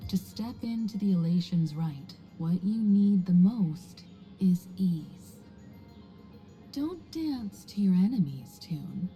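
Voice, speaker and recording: Worldly calm game heroine, very dark mellow lowish voice, takes her time, faint music. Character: composed, worldly mature woman traveller, calm and wry. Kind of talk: video game voice acting. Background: soft game music.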